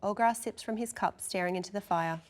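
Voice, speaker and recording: A woman's voice: mid-range voice, brisk. A woman reading scripted narration and stage directions as narrator at a table read.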